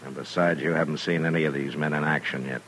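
A stern, weary frontier lawman in a 1950s radio western. His voice is extremely deep and his delivery terse and commanding. A faint noise sits on the recording. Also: steady tone, fast talker, a bit bright. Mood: dry, weary.

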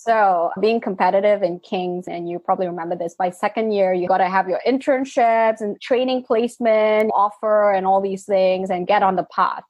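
A woman's voice: mid-range voice, darkish tone, slightly rough, no echo. A woman in her thirties from Malaysia, with a mixed Malaysian and British accent, answering interview questions in an earnest storytelling way, often adding "right?". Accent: Malaysian and British mix. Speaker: interview guest.

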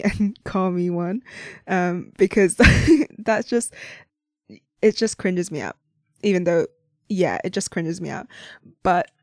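A woman's voice: on the low side, a little mellow, no echo, studio quality. A young woman from Hong Kong hosting a casual, unscripted podcast, rambling cheerfully with lots of fillers and frequent little laughs. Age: young adult. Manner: rambling, chatty, frequent "like" and "you know", laughs often. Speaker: podcast host.

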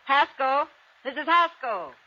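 An actress playing a brash, spoiled young socialite with a sharp, imperious manner in 1950s radio drama. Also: female voice, high voice, expressive, a little slow, noisy background. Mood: impatient. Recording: muffled 1950s radio recording.

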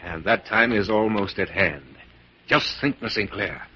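An actor playing a menacing, gloating mad scientist professor, theatrical and mock-polite, in 1940s radio drama style. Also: male voice, lowish voice, unhurried, sharp tone, husky, heavily acted. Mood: smug, sinister. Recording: scratchy, dull 1940s radio recording.

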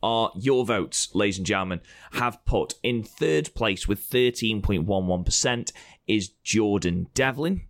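A man with a very low, hoarse and croaky voice talks in casual podcast banter. He is blunt and opinionated and swears freely. The sound is dry and close, with no room around it. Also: animated, measured pace, dry recording. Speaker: podcast co-host presenting award results.

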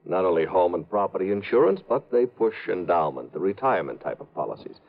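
Brisk American insurance man, thin radio sound. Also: deep voice, very animated, vocal fry, phone-quality sound, heavily acted. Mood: businesslike, explaining. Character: businesslike, coaxing insurance adjustment executive, adult man. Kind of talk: 1950s radio drama acting. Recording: thin, band-limited 1950s radio recording.

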